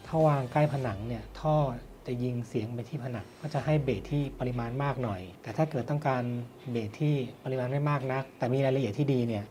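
A man's voice: mid-range voice, quick pace, few pauses, warm tone. Man presenting over music. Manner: explanatory, poses questions then answers them. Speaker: product reviewer and presenter. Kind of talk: spoken audio product review and demonstration. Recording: music playing behind the voice. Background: background music.

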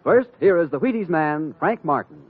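A man's voice: a little high, lively intonation, moderate pace, slightly rough, no room sound. A man announcing an old-time radio drama in a formal, scripted style. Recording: muffled old radio recording.

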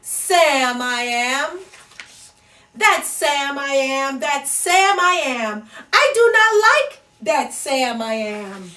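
Very high, animated woman storyteller. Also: extremely slow. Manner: theatrical, sing-song, exaggerated. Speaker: children's storyteller. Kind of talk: animated read-aloud for toddlers. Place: indoor living room. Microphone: camera microphone at a distance. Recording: echoey room, distant microphone.

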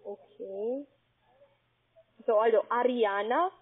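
A husky, somewhat high woman's voice with a Spanish accent, talking in broken German as a call-centre agent. She spells things out slowly and patiently, letter by letter. The sound comes through a thin, tinny phone line. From Spain.

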